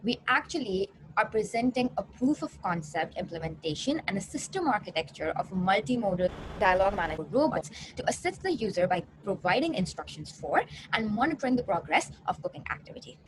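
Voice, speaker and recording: A woman delivers an academic research talk in an explanatory way. Her pitch moves in a lively way and she sometimes trips over a word and restarts it. Clear noise runs behind the recording. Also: a little fast, few pauses, rough recording. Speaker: researcher presenting a paper.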